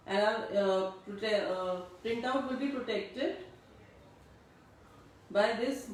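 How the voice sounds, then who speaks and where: A woman giving a live online craft class, explaining steps slowly and checking that attendees can hear her. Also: mid-range voice, slight background noise. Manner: slow instructional, checks audibility with attendees. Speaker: craft teacher. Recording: distant echoey room mic.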